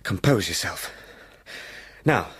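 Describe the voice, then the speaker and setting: A man's voice: low voice, lively intonation, slow, a bit bright, husky, heavily acted. An actor playing a proper young English solicitor in a radio drama, talking to himself in short, clipped phrases as he steels his nerves. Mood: composing himself. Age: young adult. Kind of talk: British radio drama acting.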